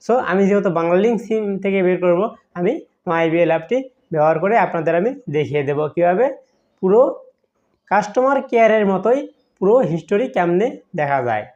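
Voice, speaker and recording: A man's voice: high voice, animated, brisk, a little mellow. A Bangladeshi man hosting a tech tutorial, explaining step by step how to use a phone app. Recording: dull, muffled lo-fi sound.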